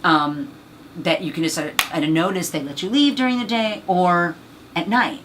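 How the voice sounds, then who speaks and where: A woman with a husky, low voice, chatting as an interview guest about her acting career, rambling and filling gaps with "you know.". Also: moderate pace, a bit bright, slight background noise, low-quality recording. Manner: rambling, many fillers. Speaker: actress and voice actor guest. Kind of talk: casual interview chat.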